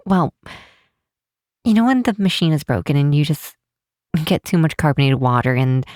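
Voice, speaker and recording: A woman playing a caring, teasing young best friend in an ASMR roleplay, speaking gently and hesitantly with soft pauses. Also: deep voice, dry recording, clean studio sound.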